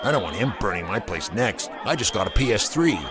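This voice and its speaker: An actor playing a nervous, gossiping high school boy in an audio drama, slangy and uneasy. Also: animated, a little fast, natural voice. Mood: gossipy, wary. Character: wary gossiping male high school student, nervous sidekick. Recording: loud music and crowd behind.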